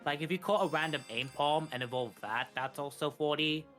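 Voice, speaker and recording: A man talks casually as co-commentator on a gaming run. He explains in a halting way, repeating and correcting himself, with music in the background. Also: on the high side, pushed and bright. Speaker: speedrun co-commentator. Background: video game music.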